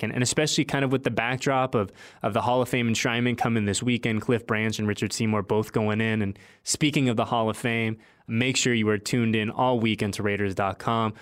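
A man's voice: lowish voice, a bit bright. An American man speaking as an upbeat, chatty sports show host and interviewer, full of enthusiasm. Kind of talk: upbeat sports podcast talk.

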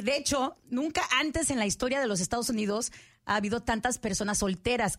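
Woman, 40s, high voice, chatty podcast host. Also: fairly bright tone. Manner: chatty, mixes in English words. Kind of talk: casual chat.

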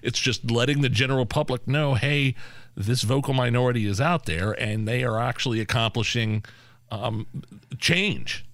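A man's voice: medium pitch, moderate pace, a bit bright, dry recording. A man co-hosting talk radio, giving opinionated commentary in an emphatic way. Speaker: radio co-host.

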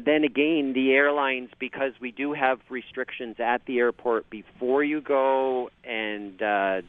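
A man's voice: medium pitch, clean tone, no room sound. Canadian man on a tinny phone line. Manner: halting, many fillers and restarts. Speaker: travel agent guest. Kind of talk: phone interview on radio.

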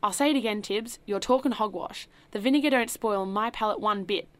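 A woman voicing a brash, boastful, newly rich man who talks loudly and coarsely. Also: mid-range voice, moderate pace, lightly acted. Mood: scoffing. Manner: loud, blustering, ungrammatical slang.

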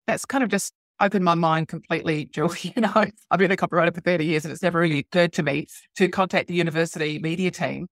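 A woman's voice: on the low side, no room sound, studio quality. A middle-aged woman hosting an interview, chatty and appreciative as she puts questions to her guest. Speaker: podcast host, copywriter. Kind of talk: conversational interviewing.

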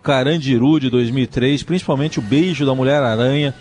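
A man's voice: medium pitch, brisk, darkish tone, faint music behind. A man co-hosting a news radio show, presenting a film news item in an informative tone with casual "né" tags. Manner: informative, with tag questions like "né". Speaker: radio co-host. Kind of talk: culture news segment.

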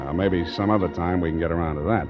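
An actor playing a tough, wary American sea captain and narrator in a 1940s radio drama, with a clipped, wry delivery. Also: male voice, low-pitched, quick pace, natural voice. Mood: wry, probing. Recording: dull old radio recording, organ music.

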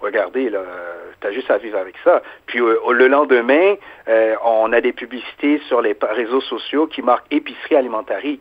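A man's voice: mid-range voice, husky. A man with a Quebec French accent answering informally as a small business owner in an interview. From Quebec resident of Haitian origin. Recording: narrow phone-like sound.

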